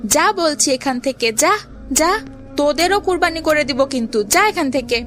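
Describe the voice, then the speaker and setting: A young cartoon boy, voiced by a woman, high-pitched and earnest. He is curious and headstrong, with faint music behind him. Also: lively intonation, normal speed, a bit bright. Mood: stern annoyance. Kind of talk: children's cartoon voice acting.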